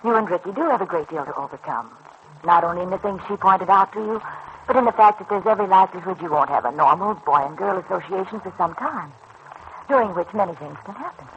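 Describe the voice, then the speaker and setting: An actress playing a kindly, sensible woman confidante in a vintage radio serial, giving measured, reassuring advice. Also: fairly low pitch, lively intonation. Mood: gently cautioning. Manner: measured, reassuring, gently advising. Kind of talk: 1940s-50s radio serial acting. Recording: dull, noisy old radio recording.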